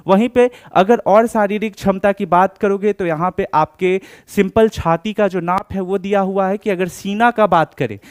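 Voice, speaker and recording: A man's voice: sits high, smooth. A man explaining things step by step like a coaching instructor, often checking that students follow.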